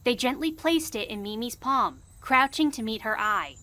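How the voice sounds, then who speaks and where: A woman's voice: a little high, animated, moderate pace, bright, forceful tone. A woman reading scripted storybook narration and credits for an audio drama. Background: music.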